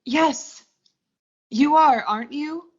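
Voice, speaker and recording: Young woman acting an anxious, high-voiced radio heroine. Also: slow pace. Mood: frightened pleading. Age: young adult. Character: anxious lovestruck female office worker narrating her ordeal. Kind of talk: 1940s suspense radio drama acting. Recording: dull lo-fi audio, music behind.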